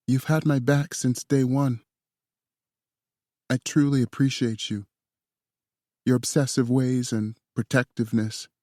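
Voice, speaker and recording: A male reader raising his voice to play a forgiving young woman, softer and higher than his narration. She speaks slowly and heartfelt. The sound is dry and close. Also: no echo, lightly acted.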